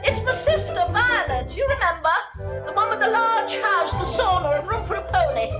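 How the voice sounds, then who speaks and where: An actress playing a snobbish, social-climbing middle-aged English housewife in a sitcom, speaking with haughty, affected gentility. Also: female voice, extremely high pitch, lively intonation, normal speed, loud music behind the voice. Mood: boastful. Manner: haughty, affectedly genteel, imperious. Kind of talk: 1990s British sitcom acting.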